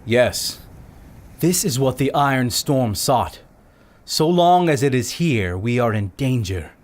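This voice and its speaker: A man playing a dignified island king who speaks calmly and solemnly. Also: mid-range voice, slow. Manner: calm, solemn. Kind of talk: radio play acting.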